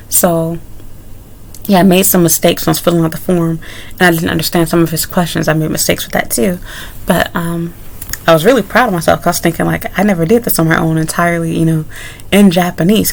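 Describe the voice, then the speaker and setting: A young woman recounts a personal ordeal straight to camera, loose and candid, drifting into side remarks before picking the thread back up. Her voice sits fairly low with a noticeable gravelly fry running through it. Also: a little hiss or hum. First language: English. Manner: candid, rambling with frequent asides. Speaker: vlogger. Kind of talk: casual story-time monologue.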